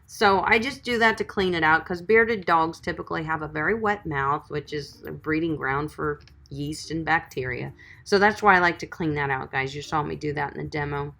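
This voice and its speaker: A woman's voice: deep voice, moderate pace, creaky, a bit bright. A woman dog groomer chatting on a livestream, reading viewer comments and giving friendly, encouraging advice. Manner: chatty, encouraging, calls viewers sweetie and guys.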